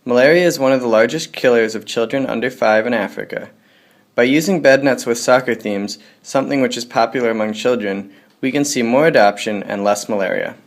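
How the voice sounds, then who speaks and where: Guy reading a pitch script, steady, mid voice. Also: fairly quick.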